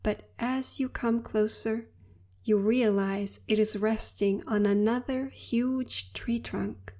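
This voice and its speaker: A woman narrating a guided meditation in a soothing, unhurried and deliberate manner. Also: a little high, steady tone, mellow.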